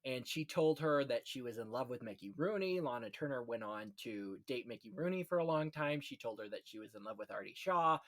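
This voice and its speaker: A man's voice: medium pitch, animated, a little fast, hardly any pauses. A man hosting a casual film history chat, telling anecdotes in a gossipy, conversational way. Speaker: podcast host.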